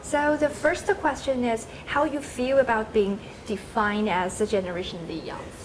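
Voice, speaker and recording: A woman's voice: a little high, fairly even intonation, normal speed, background noise. A woman hosting and moderating a round-table discussion, with slightly unidiomatic phrasing. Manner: brief backchannel replies, slightly unidiomatic phrasing. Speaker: host.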